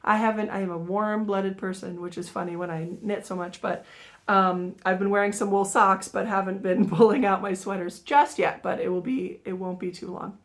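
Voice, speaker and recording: A woman in her thirties, a little raspy from a cold, chatting casually about her knitting projects with many ums and self-corrections. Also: mid-range voice, fairly even intonation, normal speed. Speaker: guest knitter sharing projects. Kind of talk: casual show-and-tell.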